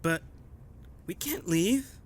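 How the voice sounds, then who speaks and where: A young actor playing an upset son in a drama, pleading and questioning. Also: male voice, high-pitched, lively intonation, slow, full character voice. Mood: confused, resistant. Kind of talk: dramatic film-style acting.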